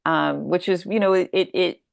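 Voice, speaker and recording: A woman speaking as a guest author in a casual, reflective interview, haltingly thinking aloud and repeating words. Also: fairly low pitch, creaky, dry recording, studio quality.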